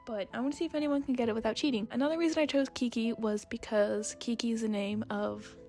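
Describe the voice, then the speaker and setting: A woman's voice: fairly high pitch, steady tone, fast talker, darkish tone. A young woman giving a casual, chatty voice-over in a rambling, self-deprecating way. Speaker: video creator and artist. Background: background music.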